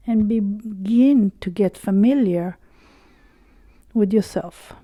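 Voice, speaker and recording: A woman guiding a meditation in a soothing, unhurried way, pausing often between short phrases. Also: medium pitch, mellow, dry recording, studio quality. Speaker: meditation guide. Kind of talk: slow guided body-scan meditation.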